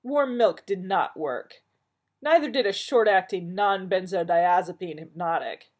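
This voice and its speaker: A woman's voice: medium pitch, big pitch swings, moderate pace, a little mellow, slightly rough, voice pushed up. A woman voicing a neurotic, irritable male doctor who complains in an exasperated, whiny way.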